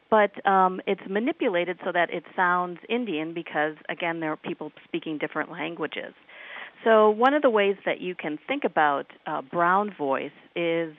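A woman professor with a Midwestern accent, answering radio interview questions in a thoughtful academic way, with many ums. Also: fairly low pitch. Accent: Midwestern American. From United States. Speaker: professor guest on call-in radio. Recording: tinny phone line.

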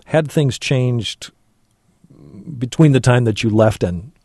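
A man hosting a call-in radio show, asking gentle, hesitant questions. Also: mid-range voice, slow pace, no room sound, studio quality. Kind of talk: radio interview.

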